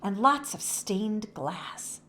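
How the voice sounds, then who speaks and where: A woman's voice: mid-range voice, sing-song, extremely slow, pushed and bright, a little hiss or hum, exaggerated voice. A woman voicing a sweet, dreamy young girl in a children's storybook, in an eager, wondering manner. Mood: wistful.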